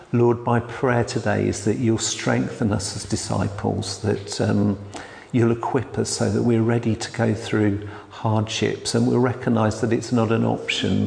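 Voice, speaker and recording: A man, a church elder giving a sermon in a quiet, hesitant way with many restarts. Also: on the low side, vocal fry. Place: church hall. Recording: long hall reverb.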